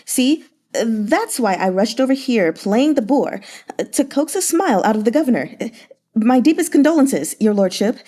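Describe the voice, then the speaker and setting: A woman voicing a fawning, smooth-talking young male merchant with a lilting, wheedling way of speaking. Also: a little high, lively intonation, normal speed, mellow. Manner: lilting, wheedling, full of little interjections.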